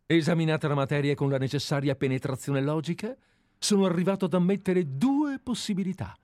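A man's voice: on the high side, fairly quick, a little mellow, no echo. A man reading an earnest, fawning sleuth. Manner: earnest, deferential, methodical. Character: obsessive, fawning male admirer-sleuth. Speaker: reader. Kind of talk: dramatized radio play reading.

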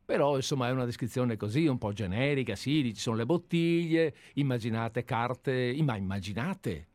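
Man talking haltingly, close and dry. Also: mid-range voice, animated, a little mellow, no room sound. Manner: hesitant, halting, searching for words with frequent repetitions. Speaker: host of a literary radio discussion. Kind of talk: informal literary discussion.

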